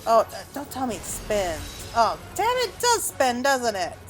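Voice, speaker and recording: A woman's voice: a little high, wildly swooping pitch, a little slow, rough recording. A woman giving casual gameplay commentary, rambling and thinking aloud as she plays. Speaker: gamer, let's play host. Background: game music.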